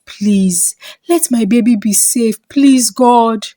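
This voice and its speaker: A woman's voice: a little high, slow, warm tone, dry recording, studio quality. A woman with a Nigerian accent voicing an emotional, weary young pregnant wife who pleads, in a folktale. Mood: pleading.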